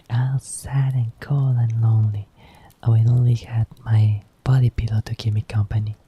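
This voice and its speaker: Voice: mid-range voice, flat delivery, measured pace, breathy edge, dull audio. A streamer whispering an ASMR roleplay as a clingy, sleepy partner who coaxes someone back to bed. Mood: teasing. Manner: soft teasing whisper.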